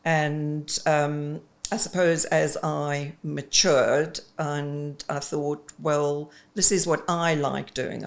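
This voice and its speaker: A woman in her fifties with a South African accent, an executive coach chatting expressively in an interview, with frequent ums. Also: low voice. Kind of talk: casual interview chat.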